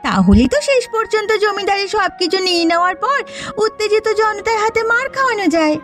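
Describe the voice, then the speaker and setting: A woman's voice: very high-pitched, sing-song, fairly quick, a bit bright, full character voice. A woman voicing a village housewife in a cartoon family drama, emphatic and theatrical. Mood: scheming glee. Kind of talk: dramatic Bengali cartoon voice acting. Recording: music under the voice.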